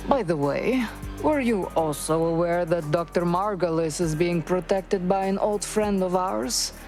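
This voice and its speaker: Menacing female faction leader for a game cutscene; low register, cold deliberate command, light music underneath. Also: a little mellow. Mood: cold, smug. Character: vengeful, menacing woman leading a criminal organization. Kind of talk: scripted video game cutscene acting.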